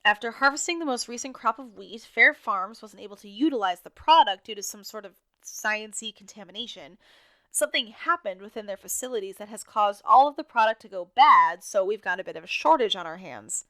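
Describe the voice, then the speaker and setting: An actress playing a rambling radio co-host in an audio drama, wary of authority and prone to arguing with herself. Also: female voice, mid-range voice, expressive, a little fast, bright, forceful tone. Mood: chatty, informative. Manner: impassioned, argues back and forth with herself.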